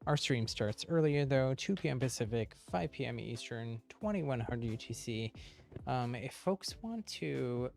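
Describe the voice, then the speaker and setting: A man hosting a game livestream, explaining things casually in a rambling, easygoing way with a gravelly voice. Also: mid-range voice, expressive, a little slow, a little mellow, soft background music.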